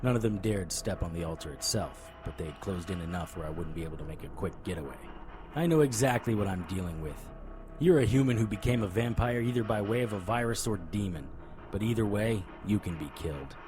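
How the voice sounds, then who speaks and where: A man's voice: low-pitched, expressive, brisk, music in the background. An actor playing a tough, determined private investigator in an audio drama, terse and serious as he narrates and speaks. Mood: firm, defiant. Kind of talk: first-person audio drama narration and dialogue.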